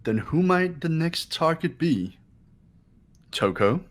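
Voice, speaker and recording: A man's voice: a little high, very animated, slow. A man voicing a haughty, impatient young man in an amateur mystery game dub, curt and commanding. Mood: incredulous.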